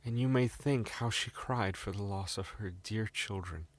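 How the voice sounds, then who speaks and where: A man's voice: lowish voice, normal speed. A man with a British accent reading a scripted fairy tale as a storyteller.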